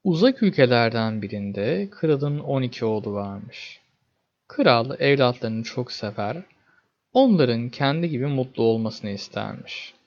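Male storyteller for fairy-tale narration, expressive and dramatic, very animated pitch, mid register. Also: measured pace, dull audio.

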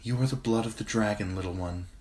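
A man's voice: medium pitch, expressive, a little slow, pitched above the performer's own voice, close to an everyday voice. A man reading an audiobook, voicing a proud young queen, commanding and cold when she gives orders. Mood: hushed. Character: young queen, proud, frightened but defiant woman.

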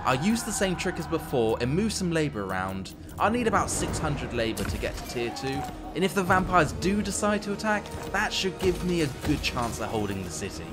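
A man narrates a strategy game in a wry, playful manner. His voice sits a little high and moves animatedly up and down. Music plays clearly behind the commentary. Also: big pitch swings, fairly quick, hardly any pauses. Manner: wry, tongue-in-cheek. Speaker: gameplay commentator. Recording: voice-over with background music.

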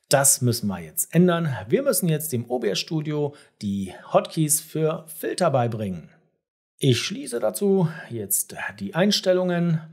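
A middle-aged man presenting a step-by-step software tutorial, explaining things directly to the viewer. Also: on the high side, breathy edge.

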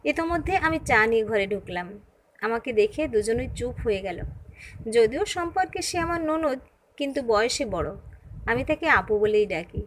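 A woman's voice: fairly high pitch, lively intonation, moderate pace, a little hiss or hum. A woman reading a scripted first-person short story as narrator. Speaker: story reader.

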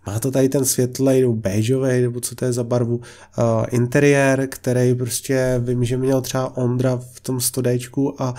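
A man's voice: mid-range voice, little pitch movement, dark tone. A young man giving casual, chatty commentary on used car listings, full of filler words and blunt opinions. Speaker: car vlogger.

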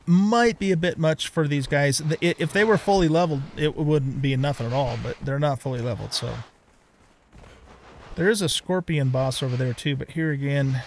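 A man's voice: fairly high pitch, a little hiss or hum. A middle-aged man giving casual gameplay commentary, rambling and thinking aloud as he hosts a let's play.

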